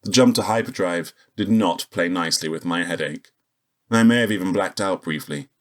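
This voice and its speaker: A man playing a grumpy, sardonic space agent in a sci-fi audio drama, with a husky voice and a wry, grumbling manner. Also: sits low, normal speed, a bit bright. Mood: wry narrating. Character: grumpy, trigger-happy male space agent, sardonic and exasperated. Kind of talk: first-person sci-fi audio drama narration and dialogue.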